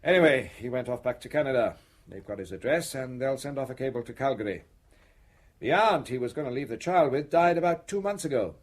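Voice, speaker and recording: A man playing a brisk, quietly suspicious police inspector in a radio drama, questioning with hesitant pauses and ums. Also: medium pitch, lively intonation, close to an everyday voice. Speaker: actor in a radio play. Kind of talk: British radio drama dialogue.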